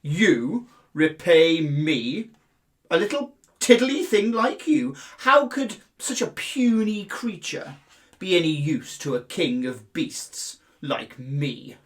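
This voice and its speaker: Man acting a loud, pompous storybook lion king. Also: a little high, slow pace. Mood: mocking, amused. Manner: pompous, scornful, drawn out. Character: huge haughty male lion, lazy king of beasts. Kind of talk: children's fable storytelling.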